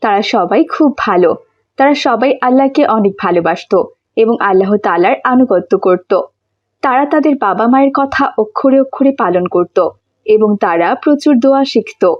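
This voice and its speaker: High-pitched woman narrating children's stories. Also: lively intonation, normal speed, muffled recording. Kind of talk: scripted children's story narration.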